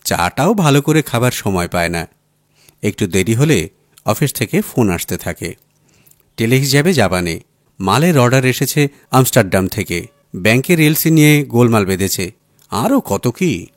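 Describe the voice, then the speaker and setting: A man's voice: medium pitch, sing-song, normal speed, pauses often, no echo, clean studio sound. A man reading a literary story aloud as a dramatised audiobook narration. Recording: music playing behind the voice. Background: music bed.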